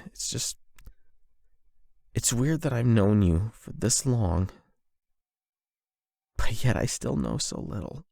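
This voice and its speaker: A man performing an intimate confession roleplay as a nervous, lovestruck young man, speaking in a halting, self-doubting, conversational way into a close microphone. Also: lowish voice, animated, vocal fry, warm tone, no room sound. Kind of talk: emotional confession roleplay, ASMR-style monologue.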